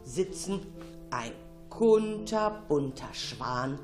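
A woman narrating a children's story in an expressive, dramatic, suspenseful way. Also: deep voice, extremely slow, faint music behind. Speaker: storyteller, radio show narrator.

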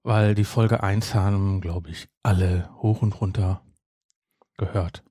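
Husky mellow man in his fifties. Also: deep voice, steady tone, unhurried, dry recording, studio quality. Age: 50s. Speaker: podcast co-host. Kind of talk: casual chat about audio dramas.

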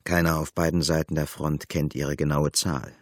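A man with a gravelly voice narrating a literary audio drama. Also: a bass voice, level tone, normal speed, no room sound, clean studio sound.

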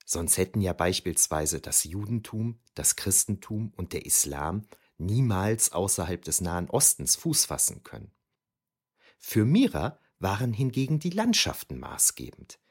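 A man's voice: sits low, very animated, measured pace, slightly rough, full character voice. A man playing a reflective ancient historian who narrates memories of youth in a scripted, wryly explanatory way for an audio drama. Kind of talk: scripted first-person narration.

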